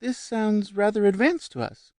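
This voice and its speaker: Man playing young woman, grave and dryly ironic, raised pitch, dry audiobook. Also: moderate pace, mellow, dry recording, natural voice. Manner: grave, solemn, dry wit.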